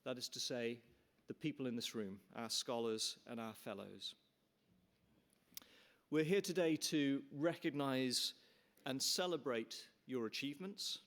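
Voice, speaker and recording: A man's voice: medium pitch, fairly bright tone. A middle-aged man from Canada, the chair, giving an earnest formal welcome speech in a conference hall with frequent restarts and fillers.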